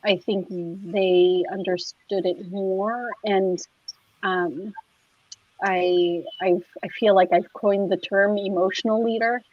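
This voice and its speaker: A woman's voice: mid-range voice, steady tone, takes it slowly, no echo. Woman, interview guest; loose, filler-heavy chat over a dull, dry remote line. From United States. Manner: chatty, full of "like" fillers and restarts. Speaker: guest, clinical social worker. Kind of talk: casual conversational interview. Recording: dry, slightly muffled lo-fi audio.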